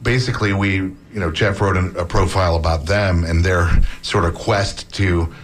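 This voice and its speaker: A man talking casually as a guest author on an interview show, relaxed and chatty, with many fillers and restarts. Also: sits low, normal speed, slight background noise. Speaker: book author, academic.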